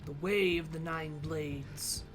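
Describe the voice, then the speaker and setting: A woman's voice: sits low, steady tone, slow. A woman hosts a let's play in a casual, conversational way. Her delivery is wry and a little sarcastic, drifting in and out of character. Game music plays behind her. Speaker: let's play host, gamer. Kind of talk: casual gameplay commentary, partly in character.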